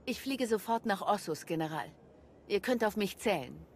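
A woman playing a calm, confident military commander in a video game, composed and dutiful. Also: medium pitch, moderate pace, slight background noise, lightly acted. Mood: determined. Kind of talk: German video game voice acting.

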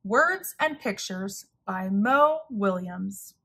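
A woman's voice: mid-range voice, lively intonation, very slow, a bit bright, breathy edge. A woman reading a picture book aloud for children. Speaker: children's story reader.

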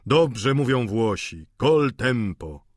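A man's voice: mid-range voice, expressive, dry recording, clean studio sound. A man voicing a sly, cool, sardonic older schemer in an audiobook, drawling with irony. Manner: unhurried, drawling, ironic.

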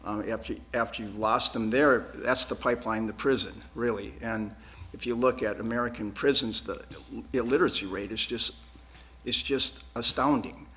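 A man answers questions as a political candidate in a studio forum. His delivery is earnest and emphatic, with words repeated for stress. His pitch sits in an ordinary male range. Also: fairly even intonation, normal speed, a bit bright. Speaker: school board candidate. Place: public access TV studio.

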